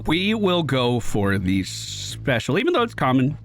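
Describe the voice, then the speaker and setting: A man's voice: mid-range voice, wildly swooping pitch, measured pace. A man giving casual, chatty commentary while he plays a video game, now and then swearing or laughing. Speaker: gaming video creator. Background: game music.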